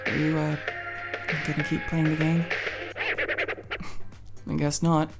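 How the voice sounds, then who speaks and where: A woman playing an awkward, tired but fond tomboy friend in an intimate ASMR roleplay, speaking in a halting, hesitant, casual mumble. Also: sits low, monotone, loud music behind the voice.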